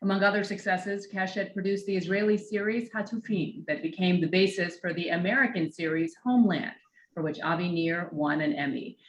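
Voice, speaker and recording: A woman's voice: fairly low pitch, brisk, roomy sound, poor audio. A woman hosting and moderating a panel, giving polite, scripted introductions and questions. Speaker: event host and moderator.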